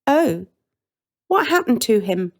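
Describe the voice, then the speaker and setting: A woman reader puts on a young girl from a fairy tale, pitched up and lively. She is an earnest, slightly bossy sister, polite and anxious. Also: slow pace, creaky, exaggerated voice.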